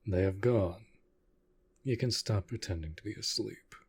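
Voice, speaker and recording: A man playing an aloof, commanding yet protective vampire master in an intimate roleplay audio drama, speaking in a formal, measured way. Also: on the low side, breathy edge. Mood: coolly caring. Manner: formal, measured, deliberate speech.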